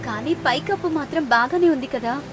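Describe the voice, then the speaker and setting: Woman as a high-voiced, hopeful young village sister. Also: brisk, music in the background, lightly acted. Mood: hopeful persuading. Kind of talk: moral story character voice.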